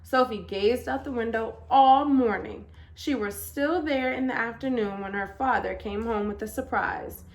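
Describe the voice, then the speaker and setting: A woman reading a children's picture book aloud as storyteller, with expressive, deliberate emphasis. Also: fairly high pitch, low-quality recording.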